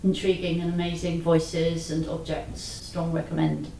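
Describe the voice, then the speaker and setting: A woman speaks as a podcast host, addressing guests and listeners conversationally. Her voice sits a little low and has a soft, dark, relaxed tone. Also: a little slow, vocal fry, a little hiss or hum, poor audio. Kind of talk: conversational hosting, spoken outro to listeners.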